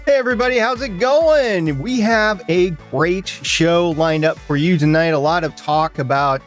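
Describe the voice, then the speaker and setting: A man's voice: high voice, normal speed, soft background music. A man co-hosting a podcast in casual talk, explaining things step by step in a chatty, storytelling way. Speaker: podcast co-host.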